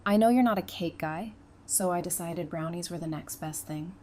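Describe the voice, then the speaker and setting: A woman's voice: fairly low pitch, moderate pace, warm tone, a little hiss or hum, natural voice. A woman acting in an audio drama as a teasing, affectionate woman in her early thirties, speaking casually.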